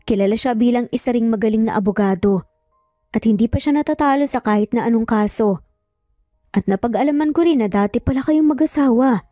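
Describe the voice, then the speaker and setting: A woman voicing a worried female client who speaks calmly, hesitantly and with deference in a dramatic story. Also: a little high, fairly quick, no room sound, studio quality, faint music behind, natural voice. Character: worried woman client, polite, calm and doubtful.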